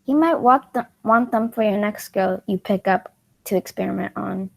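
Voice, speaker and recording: A woman playing a wounded, proud young former flower girl in an amateur play reading, with a halting delivery that stumbles and repeats. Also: mid-range voice, vocal fry. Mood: hesitant, resentful.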